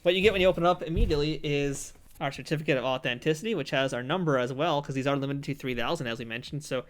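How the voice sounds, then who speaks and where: A man's voice: on the high side, very fast. A man in his thirties co-hosting a collectible review in a studio, chatty and jokey as he explains details at a table. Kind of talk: casual review chat. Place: indoor studio set at a table.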